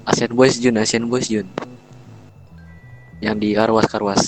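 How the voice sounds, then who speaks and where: A man's voice: on the low side, little pitch movement, unhurried, soft background music, rough recording. A man giving short, informal interjections in an online gangster roleplay.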